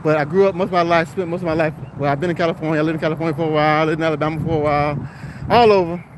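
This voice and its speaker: A man's voice: a little high, steady tone, racing. An American man rambling casually on a livestream, repeating his phrases for emphasis in an encouraging, preacherly way. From South Florida, United States. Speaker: livestreamer and commercial driver. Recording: noisy outdoor wind and traffic noise.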